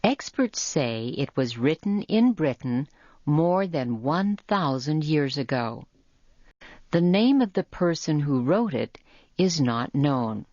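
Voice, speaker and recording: A woman with a low voice reads an educational script for learners. Her delivery is very slow and measured, each phrase carefully enunciated. The sound is dry, with little room around it. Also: big pitch swings, darkish tone, no echo. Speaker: educational narrator. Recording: muffled lo-fi audio.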